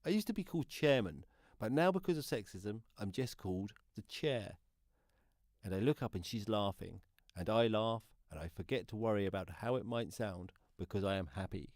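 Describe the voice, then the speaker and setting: A man's voice: on the low side, lively intonation, normal speed, a little mellow, no echo, clean studio sound, natural voice. An actor playing a shy, earnest deaf man who confides his story in a radio play monologue. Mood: earnest, happy.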